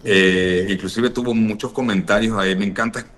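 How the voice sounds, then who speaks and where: A man from Paraguay, a podcaster guest speaking over a call, measured and thoughtful, repeating words as he searches. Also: on the low side, fairly even intonation, fairly bright tone. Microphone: video or phone call.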